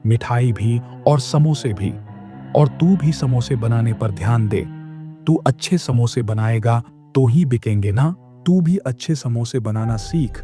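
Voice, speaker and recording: Man playing a greedy, grumbling shopkeeper, dark-toned. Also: mid-range voice, natural voice. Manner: bossy, grumbling. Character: greedy, jealous, scheming male sweet-shop owner. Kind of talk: children's cartoon dialogue.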